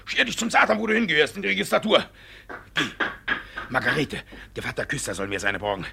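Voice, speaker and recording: Blustering, gravelly village judge. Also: male voice, a little high, fast talker, background noise, exaggerated voice. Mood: angry, barking. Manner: blustering, excitable, barking orders, cursing. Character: blustering, cunning, guilty village judge, gruff and flustered. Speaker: actor in lead role. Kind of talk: classic German stage comedy as radio play.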